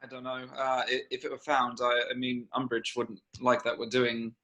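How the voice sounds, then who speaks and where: A man's voice: mid-range voice, monotone, normal speed, bright, forceful tone, muffled recording, natural voice. A man playing a nervous, hesitant teenage schoolboy in an amateur table read. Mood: nervous, reluctant.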